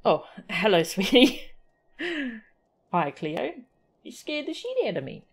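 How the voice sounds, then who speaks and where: A woman's voice: mid-range voice, big pitch swings, slow pace. A woman streaming a game with casual, playful, chatty live commentary. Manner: playful, chatty, goofy sound effects. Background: faint game music.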